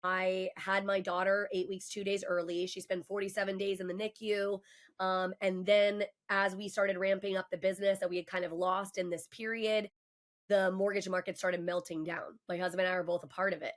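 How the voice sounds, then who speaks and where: A woman's voice: mid-range voice, level tone, moderate pace. A woman in her forties, a guest on a podcast, telling her own story in a candid, emphatic and passionate way. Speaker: mortgage professional. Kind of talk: casual podcast conversation.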